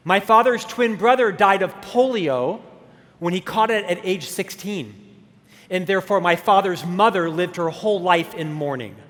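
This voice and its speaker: A man in his fifties with a stutter and a high voice tells his own story at a conference, animated and self-deprecating. His words ring out with a long hall reverb from a big stage. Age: 50s. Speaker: keynote speaker. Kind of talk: motivational conference talk.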